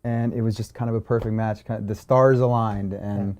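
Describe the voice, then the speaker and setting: A man's voice: fairly low pitch, steady tone, fairly quick, darkish tone. A man, a real estate agent, speaking in a confident, matter-of-fact way in an interview. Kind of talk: interview conversation.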